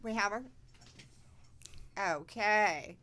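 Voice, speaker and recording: A woman with a mid-range voice hosts a talk podcast. She reads introductions with deliberate, stressed phrasing and asks her guests open questions. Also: mid-range voice, sharp tone. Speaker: podcast host. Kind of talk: hosted talk show interview.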